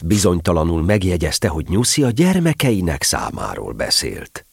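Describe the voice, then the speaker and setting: A man's voice: low-pitched, sing-song, gravelly, husky, dry recording, studio quality. A man narrating a children's story in a scripted audio drama style.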